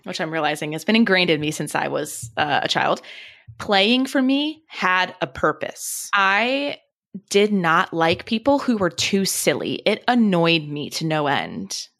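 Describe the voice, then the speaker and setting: A woman's voice: on the low side, sing-song, measured pace, fairly bright tone. A woman with an American accent co-hosting a podcast, chatting casually and telling personal stories in a candid, reflective way. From born in Germany, lived in England, in the US from age seven. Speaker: podcast co-host.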